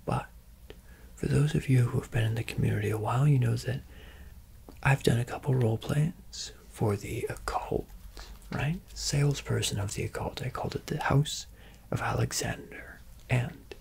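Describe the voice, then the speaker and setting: A young man whispering softly and playfully while he answers viewer questions for an ASMR video. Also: mid-range voice, creaky. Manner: soft, close whisper, teasing and playful.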